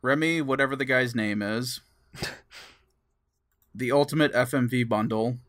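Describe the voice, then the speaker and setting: Slow-talking man in a dry, close recording. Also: medium pitch, a bit bright, no room sound. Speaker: podcast co-host. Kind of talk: casual podcast chat.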